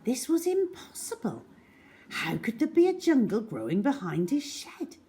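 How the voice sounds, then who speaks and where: A woman storyteller reading a children's story aloud in an expressive, dramatic way. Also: fairly high pitch, normal speed. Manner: dramatic, animated.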